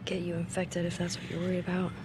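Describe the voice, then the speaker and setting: A woman's voice: lowish voice, completely flat delivery, fast, noisy background, close to an everyday voice. A young woman with an American accent playing a tough, guarded survivor with a temper in a video game, speaking bluntly and tersely in naturalistic cinematic style. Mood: guarded, subdued. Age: young adult.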